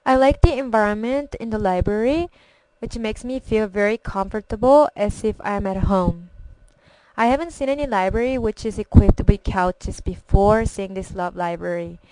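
Young Korean-accented woman, mellow and clear. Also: medium pitch, normal speed, no echo, low-quality recording. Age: young adult. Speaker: college student. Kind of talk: read personal introduction.

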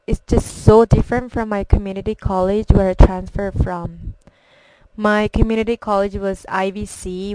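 A young college student from Korea, speaking English with a strong Korean accent. Her voice is mellow and smooth, and it sounds close and dry. She reads carefully and sometimes restarts a word. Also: medium pitch, no room sound, low-quality recording. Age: young adult. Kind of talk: read personal introduction.

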